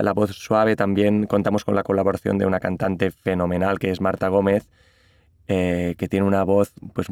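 A man's voice: deep voice, darkish tone, clear, resonant tone, no echo, clean studio sound. A man with a Catalan accent, a musician answering interview questions in a thoughtful, hesitant way with many restarts. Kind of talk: interview conversation.

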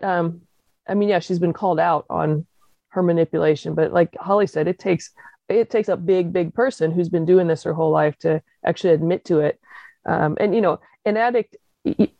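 A woman's voice: on the low side, normal speed, gravelly, warm tone, dry recording. A woman calling in to a fan talk show, chatting casually and thinking aloud over a dull, lo-fi line.

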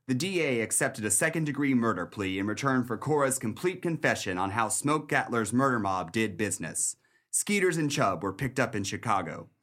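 An actor playing a tough, smart 1930s police detective in a pulp crime radio play, with clipped, slangy delivery. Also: male voice, mid-range voice, a bit bright. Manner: clipped, slangy, hard-boiled.

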